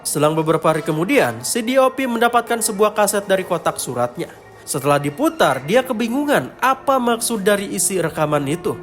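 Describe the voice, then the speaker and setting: High-pitched male horror story narrator. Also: big pitch swings, brisk. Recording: music behind the voice. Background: clear background music.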